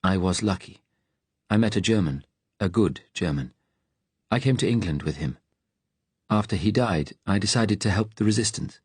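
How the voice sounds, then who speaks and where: Calm woman, measured male narrator's rendering. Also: on the low side, husky, no echo. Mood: serious, measured. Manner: slow, measured, serious, cool directness. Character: calm, direct Slovak woman of the resistance. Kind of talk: audiobook character dialogue.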